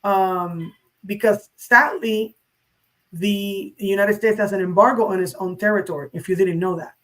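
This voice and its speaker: A woman's voice: medium pitch, steady tone, measured pace, low-quality recording. Emphatic woman. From San Juan, Puerto Rico. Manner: emphatic, opinionated, direct to the listener. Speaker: interview guest, social media crypto educator. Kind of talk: conversational interview.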